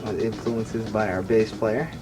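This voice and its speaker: Low-voiced male guitarist on the radio. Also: level tone, unhurried, over music. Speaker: guitarist, interview guest. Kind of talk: casual radio interview banter. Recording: old 1980s radio broadcast recording.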